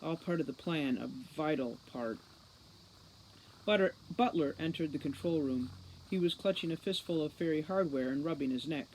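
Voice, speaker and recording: A woman narrating a novel aloud as a storybook reader, in an expressive style. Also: low voice, normal speed, slight background noise, poor audio. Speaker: audiobook reader.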